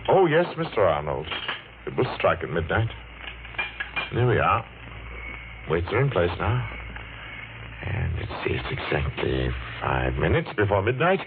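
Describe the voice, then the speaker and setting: An actor playing a brooding, vengeful middle-aged clock repairman in old radio mystery style, speaking in a deliberate, dramatic way. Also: deep voice, very animated, noisy background, exaggerated voice. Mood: cold resolve. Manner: deliberate, dramatic, halting when speaking to others. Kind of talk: 1940s radio mystery acting, first-person narration. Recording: dull vintage radio recording with music.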